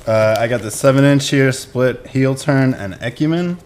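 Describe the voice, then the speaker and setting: A man's voice: mid-range voice, moderate pace, darkish tone, slight background noise. A man presenting his picks in casual, unscripted talk, using short informal phrases. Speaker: musician presenting record picks. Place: indoors in a record shop.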